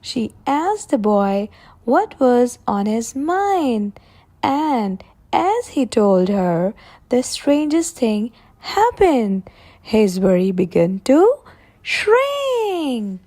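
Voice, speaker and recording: A woman reading picture books aloud for young children in a deliberate, expressive way, drawing out her words. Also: on the high side. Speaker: children's story reader.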